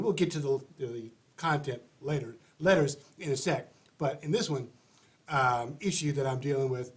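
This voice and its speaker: A stuffy, congested man hosts his advice show, rambling along in a casual, chatty way. His voice sits a little on the high side for a man. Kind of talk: casual livestream monologue.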